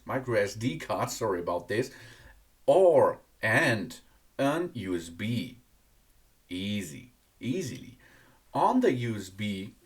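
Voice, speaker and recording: A man who is not a native English speaker gives a casual product review in an enthusiastic but halting way, repeating words. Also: fairly low pitch. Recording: distant microphone.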